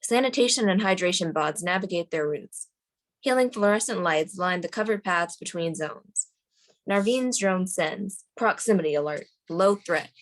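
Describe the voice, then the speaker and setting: A woman's voice: on the low side, lively intonation, moderate pace, low-quality recording. A woman narrator reading screenplay stage directions aloud at a table read.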